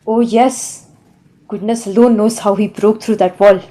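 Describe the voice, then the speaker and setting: An exasperated overseer played by a woman in an audio drama, with a warm, dark voice at an average pitch. The manner is deferential, like an underling telling a long tale to the boss. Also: fairly even intonation, a little slow, close to an everyday voice, low-quality recording. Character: exasperated male overseer reporting to the governor. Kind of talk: audio play acting.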